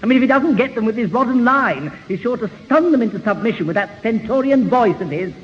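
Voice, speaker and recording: An actor playing a prim, pompous, fussy gentleman with formal phrasing in a 1970s radio sitcom. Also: very high voice, lively intonation, fast. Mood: prim, condescending. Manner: formal, prissy wording. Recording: dull reverberant old radio recording, faint hiss.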